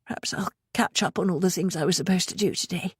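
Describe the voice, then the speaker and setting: A woman's voice: fairly low pitch, fast talker, slightly rough, dry recording, studio quality. A woman reading a tired, subdued mother in a storybook, with a British English accent. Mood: weary.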